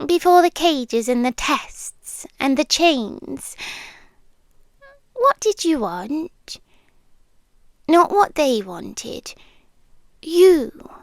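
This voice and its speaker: High, slow, whispery British yandere girlfriend, acted by a woman. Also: no echo, clean studio sound, heavily acted. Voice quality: soft, breathy, often dropping into whisper. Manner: slow, deliberate, lingering pauses. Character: possessive, unsettling yandere demi-human girlfriend, tender yet menacing. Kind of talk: ASMR roleplay, slow intimate delivery. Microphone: close microphone, dry.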